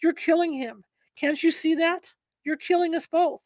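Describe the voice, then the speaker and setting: A woman reading aloud and voicing a desperate young wife who shouts and pleads in terror for her family. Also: extremely high pitch, steady tone, moderate pace, dry recording. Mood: screaming.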